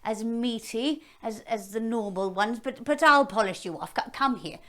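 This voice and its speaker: A woman's voice: fairly high pitch, moderate pace, a bit bright, close to an everyday voice. A woman voicing a huge male dragon in a told story. He is greedy, polite and chatty, and he stammers and repeats himself. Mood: hungry.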